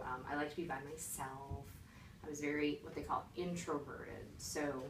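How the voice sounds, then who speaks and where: A woman who acts and dances answers interview questions casually, reflective and plain-spoken as she explains to a child. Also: low-pitched. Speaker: interviewee, stage actress and dancer. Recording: echoey room, distant mic, background noise.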